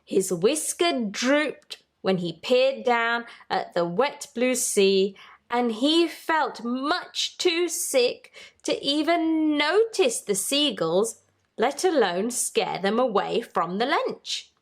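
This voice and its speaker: A woman's voice: on the high side, lively intonation, slow. A woman reading a children's storybook aloud as host, narrating expressively for young listeners.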